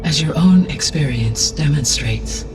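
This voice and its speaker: A man voicing a calm, benevolent artificial intelligence in a video game, speaking in a formal, precise and measured way. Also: fairly high pitch, recorded from a distance. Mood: precise, explanatory. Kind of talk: scripted video game cutscene dialogue. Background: background music.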